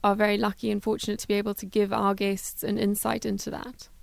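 A woman from Zimbabwe, a guest in a studio interview who talks in an even, unhurried way about her work. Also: mid-range voice, creaky, dry recording. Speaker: guest, travel philanthropy worker.

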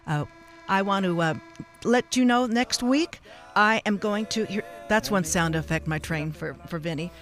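An American man, middle-aged or older, hosting a live radio interview in a halting, rambling way and addressing listeners in a folksy manner. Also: high-pitched, a bit bright. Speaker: radio show host. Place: radio station studio. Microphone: close studio radio microphone.

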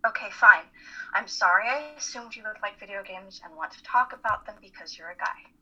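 A woman playing an anxious, self-critical college student in a play reading, husky and hesitant. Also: medium pitch, a little fast, pushed and bright, dull audio. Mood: awkward, defensive. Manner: hesitant, self-conscious confiding. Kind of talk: dramatic reading of a stage play.

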